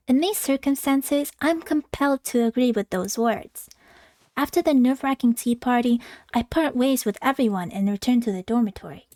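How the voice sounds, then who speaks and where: A woman's voice: a little high, darkish tone, dry recording. A woman streaming a visual novel, reading it aloud expressively and adding casual commentary. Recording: music playing behind the voice. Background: game music.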